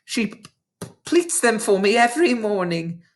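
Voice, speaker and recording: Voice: medium pitch, sing-song, normal speed, voice pushed up, close to an everyday voice. A reader voicing a terrified ten-year-old girl who stutters timidly in a children's storybook. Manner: heavy frightened stutter.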